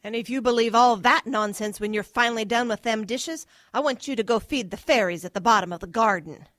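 A woman's voice: on the high side, fairly quick, fairly bright tone, no echo, natural voice. A woman playing a brusque, scornful wartime mother in a radio drama. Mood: scornful scolding.

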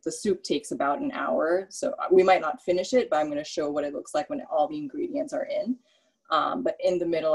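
A woman who grew up in the Philippines teaching a casual cooking class over a video call from her home kitchen, talking in a relaxed, rambling way with many fillers. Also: low-pitched, breathy edge, rough recording. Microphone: laptop webcam on a video call.

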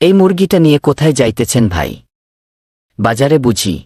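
A man voicing a pushy swindler in a cartoon, husky, insistent and wheedling. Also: medium pitch, very animated, fairly quick, warm tone, dry recording, soft background music. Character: pushy male swindler posing as eager chicken buyer. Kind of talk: cartoon dubbing.

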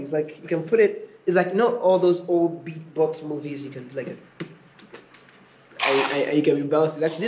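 A man casually hosting an unscripted unboxing video, chatting informally and thinking aloud as he reviews a product. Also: a little high. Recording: echoey room with clear background noise.

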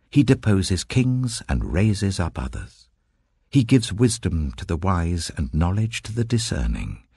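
A reverent, wise prophet played by a male narrator in a scripture audiobook. He declaims slowly and earnestly in a low, husky voice with broad rises and falls. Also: a little mellow, no room sound, clean studio sound, exaggerated voice. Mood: reverent praise. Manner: solemn, slow, declamatory.

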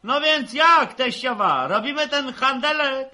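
A man's voice: very high-pitched, expressive, bright, forceful tone, full character voice. An actor playing a brash young village man hamming it up as a slick trader in a 1960s radio drama. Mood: cocky, wheedling. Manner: fast-talking, cocky, theatrical.

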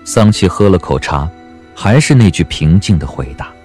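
A man narrating an audiobook drama in a measured, dramatic storytelling manner. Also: deep voice, expressive, a little mellow, faint music behind.

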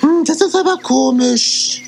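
An excitable young squirrel. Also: female voice, high voice, unhurried. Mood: puzzled. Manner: excitable, fretful. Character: young squirrel, panicky and chatty. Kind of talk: 1980s children's audio drama dub.

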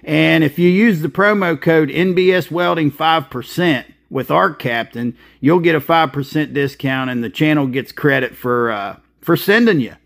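A man's voice: a little high, moderate pace, vocal fry, few pauses, a bit bright. A man in his fifties, a welder hosting his channel, explaining his work in casual, practical how-to talk with plenty of filler. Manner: plainspoken, practical, with frequent filler. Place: welding shop and outdoor work site.

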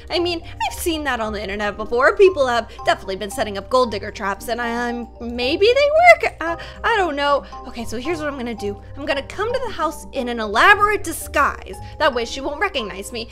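A woman hosting a game roleplay, talking in a dramatic, whiny, animated way into a desk microphone. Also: high voice. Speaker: roleplay gamer host. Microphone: desk microphone with webcam. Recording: music playing behind.

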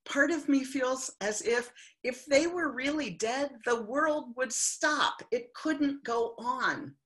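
Slow reflective older woman preaching. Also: high voice, a bit bright. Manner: slow, reflective, heartfelt delivery. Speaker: minister. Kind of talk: sermon. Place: home living room. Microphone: webcam on video call.

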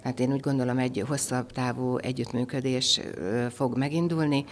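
A middle-aged woman giving a formal, measured interview indoors into a handheld microphone, pausing for words. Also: a bass voice, steady tone, slight background noise. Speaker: school head, interviewee. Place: indoors in a furnished room.

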